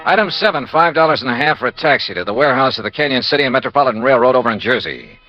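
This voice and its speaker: A man's voice: mid-range voice, expressive, rapid-fire, faint music behind, natural voice. An actor playing a persistent, wry American insurance investigator in a classic radio detective drama, clipped and dry in his delivery. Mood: dry, narrating. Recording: dull, muffled old radio recording.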